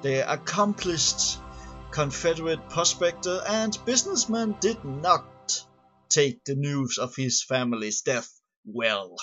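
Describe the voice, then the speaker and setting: A man reading a scripted narration aloud in a halting way, often stumbling over and repeating words. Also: fairly high pitch, animated, a bit bright. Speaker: amateur reader of game lore. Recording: dull lo-fi home recording, music behind. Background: background music.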